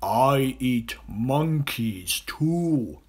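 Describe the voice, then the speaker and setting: A reader voicing a huge, greedy male crocodile in a children's storybook, slow and sly, drawing out gloating words. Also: medium pitch, animated, a little mellow, slightly rough, heavily acted. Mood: gloating, menacing. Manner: slow, drawn-out, relishing words. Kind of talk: theatrical children's storybook character voice.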